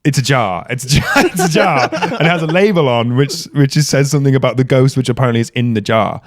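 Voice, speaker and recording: A man's voice: mid-range voice, fast. A young man from the north of England with a Yorkshire accent, a podcast guest telling joking, self-deprecating stories. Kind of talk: casual podcast chat.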